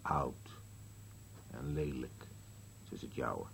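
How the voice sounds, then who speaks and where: Old husband, very low voice, terse, gloomy, contrary, radio play, faintly noisy humming recording. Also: male voice, expressive, extremely slow, gravelly, a bit bright, full character voice. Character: gloomy, contrary elderly husband on a park bench. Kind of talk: Dutch-language radio play acting.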